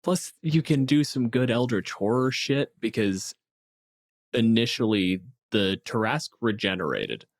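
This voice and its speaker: A man co-hosting a casual tabletop game discussion, explaining things in an animated way and swearing freely. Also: mid-range voice, measured pace. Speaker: podcast co-host.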